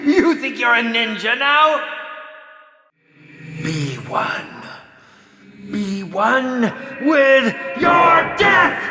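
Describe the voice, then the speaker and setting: Game villain: gleefully cruel horror movie director, mocking and theatrical, slow, drawn-out delivery, music loud behind. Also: male voice, extremely high pitch, exaggerated voice. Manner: theatrical, mocking, swinging from hushed purr to shouts. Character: sinister flamboyant male horror film director villain, gleefully sadistic. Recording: echoey reverberant voice over loud music.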